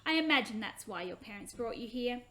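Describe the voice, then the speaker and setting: A woman voicing a gentle, earnest young mother-to-be from ancient times in a children's story, kindly and questioning. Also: a little high, lively intonation, a little fast.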